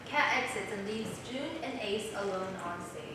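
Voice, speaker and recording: A woman's voice: medium pitch, lively intonation, normal speed. A young woman reading scripted stage directions aloud at a play reading. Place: small theater stage. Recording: very echoey, noisy theater, distant mic.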